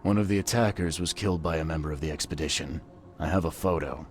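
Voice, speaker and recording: A man's voice: a bass voice, fast talker, soft background music. A man playing a terse, world-weary private detective in a video game, dry and guarded in manner. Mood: terse, businesslike. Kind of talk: video game cutscene acting.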